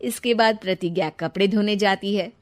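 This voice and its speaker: A woman's voice: mid-range voice, normal speed. A woman narrating a scripted story as a storyteller.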